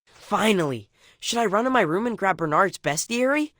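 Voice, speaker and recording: Earnest, nerdy teen turtle. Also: male voice, high voice, animated, normal speed, bright, forceful tone, dry recording, clean studio sound. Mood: eager. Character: earnest, nerdy teenage mutant turtle boy. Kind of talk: animated game voice acting.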